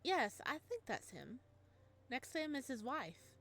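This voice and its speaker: A woman voicing a cheerful, teasing woman friend in a visual novel, brisk and matter-of-fact. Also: high voice, big pitch swings, fairly bright tone, voice pushed up, exaggerated voice. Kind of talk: reading a visual novel aloud.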